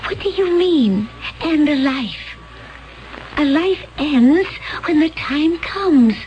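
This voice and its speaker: Radio drama: a naive, gentle alien woman, high and unhurried. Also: expressive, dull audio. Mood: puzzled. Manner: soft, wondering, naive. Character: innocent gentle alien woman from a peaceful world.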